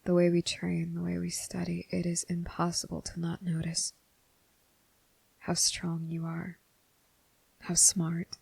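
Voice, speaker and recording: A woman performs a guilt-ridden young male vampire in an intimate ASMR roleplay. The voice is lowish and very creaky, with a narrow, subdued range. He speaks hesitantly and earnestly, as if pleading to be believed. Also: monotone, unhurried, long pauses, a little mellow, no echo, exaggerated voice. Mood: shy, guilty. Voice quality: breathy, husky, gravelly.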